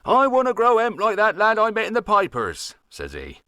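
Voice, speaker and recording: Man doing a whiny young lad, high and pushy, sounds a bit off-mic. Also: wildly swooping pitch, moderate pace, sharp tone, no echo, clean studio sound, full character voice. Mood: frustrated. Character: young male lad, eager and frustrated.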